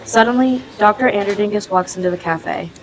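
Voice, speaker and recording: A woman's voice: mid-range voice, a little fast, poor audio. A woman reading scripted narration as the narrator of a radio play.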